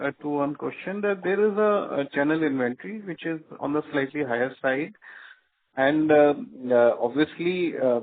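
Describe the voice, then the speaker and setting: Indian male executive on a tinny phone line. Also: medium pitch. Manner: hesitant, halting delivery with frequent filled pauses. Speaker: company executive. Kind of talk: answering analyst questions on an earnings call. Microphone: telephone conference line.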